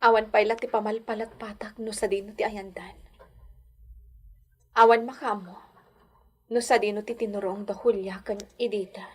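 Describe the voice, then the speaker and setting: A woman's voice: mid-range voice, little pitch movement, moderate pace, pauses often, breathy edge, lightly acted. A woman playing an anxious, pleading mother who longs for her children in a radio drama, plaintive and earnest. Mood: plaintive, worried. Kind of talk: Ilocano radio drama acting.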